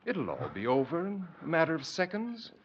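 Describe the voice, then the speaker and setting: An actor playing a scheming, falsely soothing murderer in a 1940s radio horror drama, speaking in a halting, over-polite, theatrical way. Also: male voice, fairly high pitch, very animated, slight background noise. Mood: sinister, calm. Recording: dull, lo-fi vintage 1940s radio recording.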